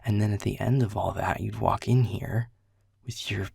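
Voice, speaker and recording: A man's voice: fairly low pitch, fairly even intonation, normal speed, creaky, mellow, breathy edge, no room sound, clean studio sound, heavily acted. A man playing a world-weary male middle manager who is blunt and swears, confessing in a half-whisper. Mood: frustrated. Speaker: boss. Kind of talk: audio roleplay acting.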